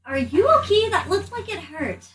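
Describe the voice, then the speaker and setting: High-pitched, halting woman at a game table. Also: lively intonation, a bit bright. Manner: halting, repeats words as she goes. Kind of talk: casual in-character role-play. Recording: distant mic, faint room noise.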